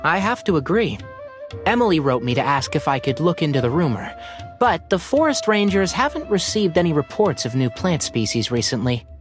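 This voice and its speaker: A man's voice: fairly high pitch, very animated, normal speed. A man playing a dutiful, helpful young forest ranger in a video game, speaking in a matter-of-fact, informative way. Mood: thoughtful. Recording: music playing behind the voice.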